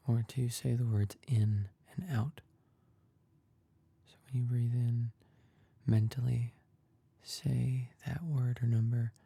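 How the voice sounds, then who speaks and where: A man leading a guided meditation in a soft, breathy, husky voice, calm and gentle, with long pauses. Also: medium pitch, no echo.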